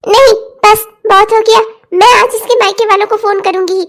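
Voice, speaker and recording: A woman's voice: very high-pitched, fairly even intonation, normal speed. A woman playing a scolding, domineering middle-aged mother-in-law in a melodramatic family drama, accusing and sarcastic. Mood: furious. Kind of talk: melodramatic family story acting.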